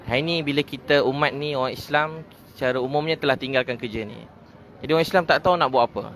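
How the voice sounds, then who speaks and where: A Malaysian man preaching informally at a quick clip, with a mid-range voice. His delivery is conversational, circling back on phrases and checking in with short tag questions. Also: mid-range voice, a bit bright, soft background music. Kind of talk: informal religious talk.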